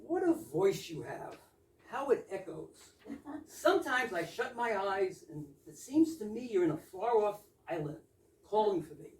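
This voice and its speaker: A woman's voice: mid-range voice, very animated, unhurried, darkish tone. A woman playing an earnest, gullible young suitor with a romantic, rhapsodic manner in an amateur readers theater comedy. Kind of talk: amateur readers theater of a 1920s comic play. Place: indoor readers theater stage, distant microphone. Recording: echoey stage recording from far off.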